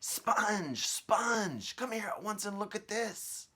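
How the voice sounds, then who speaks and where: Man putting on a shrill, excitable storybook aunt. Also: slow pace, husky, heavily acted. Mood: bossy, excited. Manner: exclaiming in short, agitated bursts.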